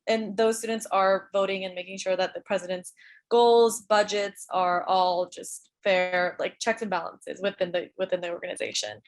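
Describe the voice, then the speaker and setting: A young woman from Atlanta introduces herself on a video-call panel. Her pitch sits in the middle range, and her speech is halting, with frequent fillers and restarts. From Atlanta, Georgia. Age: young adult. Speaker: student government president.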